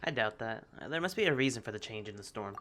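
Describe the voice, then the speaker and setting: A man's voice: fairly low pitch, expressive, quick pace, pushed and bright, a little hiss or hum. A man giving casual, offhand video game playthrough commentary with a wry manner. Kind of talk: casual playthrough commentary, reading dialogue along.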